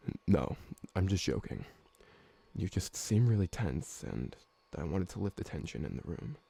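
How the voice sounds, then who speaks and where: Man playing a very deep, gravelly vampire servant. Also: expressive, warm tone, no room sound, exaggerated voice. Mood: gentle, tentative. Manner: hesitant, halting, deferential. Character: polite male vampire servant, 166 years old, gentle, awkward. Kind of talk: ASMR roleplay audio drama.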